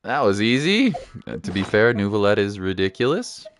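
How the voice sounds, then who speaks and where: A man's voice: on the low side, very animated, normal speed, slight background noise. A man giving casual, playful livestream commentary over gameplay, often repeating his phrases for emphasis. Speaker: game streamer. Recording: game music behind voice. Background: game music.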